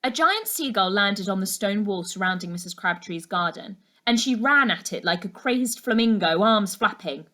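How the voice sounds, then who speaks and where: A woman narrating a children's novel aloud as a teacher would to a class, in an expressive storytelling style. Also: medium pitch, normal speed, hardly any pauses.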